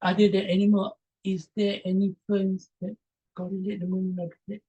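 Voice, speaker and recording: A man's voice: high voice, monotone, a little fast, warm tone, slightly rough, lo-fi sound. A man from Malaysia, presenting online and taking audience questions, reading them aloud and answering with hesitant fillers. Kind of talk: Q&A after an online talk. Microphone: video call.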